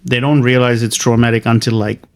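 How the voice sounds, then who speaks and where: A man from Sri Lanka, a podcast guest in casual chat, animated and teasing, swearing freely. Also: mid-range voice, fairly quick, smooth.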